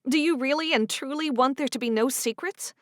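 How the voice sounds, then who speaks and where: A woman's voice: a little fast, no room sound, studio quality, natural voice. A woman playing a bold, defensive young woman. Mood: frank. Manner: pleading, high and emphatic.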